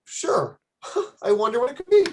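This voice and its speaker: A man's voice: very high voice, sing-song, normal speed. A man playing a hesitant, lovesick, gullible newlywed in a vintage-style radio play, with noisy audio. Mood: eager. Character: doting, gullible male newlywed groom.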